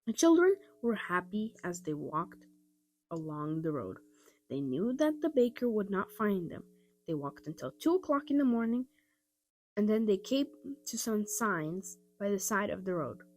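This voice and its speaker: A woman's voice: mid-range voice, big pitch swings, measured pace, darkish tone. Woman reading a storybook over music. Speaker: storybook reader. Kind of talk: expressive children's audiobook narration.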